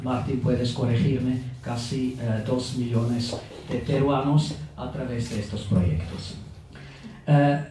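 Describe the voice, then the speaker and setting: A middle-aged man delivers a formal welcome address into a handheld mic, his voice dark and mellow and his pace slow, broken by pauses and fillers. He is heard from across an echoey reception room. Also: medium pitch, slight background noise. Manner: unhurried, with frequent pauses and fillers, self-correcting. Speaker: ambassador giving a welcome speech. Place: reception room at an embassy, indoors. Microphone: handheld microphone at a lectern. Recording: echoey room, picked up from a distance.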